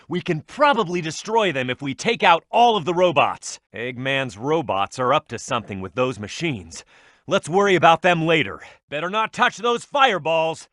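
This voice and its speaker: Casting: hot-headed young echidna brawler for a game; cocky, fairly high voice, big pitch swings, dry studio sound. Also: male voice, a bit bright, no room sound, full character voice. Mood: determined, curious. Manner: brash, cocky, emphatic delivery. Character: tough, hot-headed young male cartoon echidna hero. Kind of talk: video game voice lines, tutorial hints and battle shouts.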